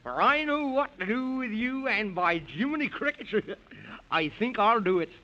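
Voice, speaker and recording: High-pitched, excitable Man in the Moon. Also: moderate pace, full character voice. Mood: indignant. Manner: theatrical, fussy, exclamatory. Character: whimsical old Man in the Moon, protective, spirited. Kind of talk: 1930s radio acting for children. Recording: muffled lo-fi 1930s radio recording.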